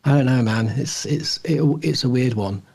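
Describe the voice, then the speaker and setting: A man's voice: mid-range voice, normal speed, creaky, a little mellow. A middle-aged man chatting casually as a podcast co-host, musing and hedging in a rambling way. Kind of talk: casual chat.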